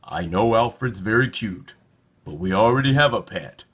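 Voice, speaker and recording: A man voicing a calm, gentle father reasoning with his young son in a storybook. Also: lowish voice, normal speed, breathy edge. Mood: gentle, reassuring.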